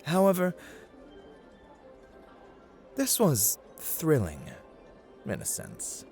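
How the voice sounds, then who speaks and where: A man's voice: fairly high pitch, huge pitch swings, extremely slow, gravelly, darkish tone, faint music behind, full character voice. A man playing a haughty, playful god of luck in an ASMR roleplay, speaking in a theatrical, teasing, formal way that drifts into whispers. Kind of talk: ASMR roleplay, scripted dramatic monologue.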